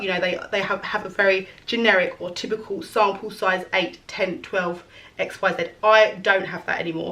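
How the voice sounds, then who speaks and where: A woman in her thirties talks through her wardrobe in a loose, rambling way, often stopping to correct herself. Her voice is going a little hoarse. She sits a little back from the mic. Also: medium pitch, a bit bright, distant mic. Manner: chatty, rambling, self-correcting. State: losing her voice. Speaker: fashion vlogger. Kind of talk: casual fashion try-on chat.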